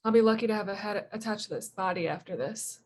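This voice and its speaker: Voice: mid-range voice, fast, a little mellow, close to an everyday voice. An actor playing a battered young hustler in a screenplay table read, scared and defeated, with slangy fatalistic talk. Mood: gloomy, resigned.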